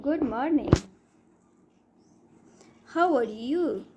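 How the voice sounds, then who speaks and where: A woman reading short everyday English phrases for learners, saying each line twice, slowly and clearly. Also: high-pitched, lively intonation, a little mellow. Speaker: English teacher. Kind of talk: slow repeated phrase drills for learners.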